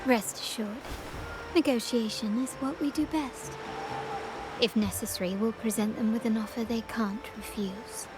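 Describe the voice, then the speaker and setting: An actress playing a poised, hospitable yet menacing woman of a powerful family in a video game, speaking formally and deliberately. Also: on the high side, steady tone, close to an everyday voice. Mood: confident. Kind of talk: video game story voice acting. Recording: music playing behind the voice. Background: game music.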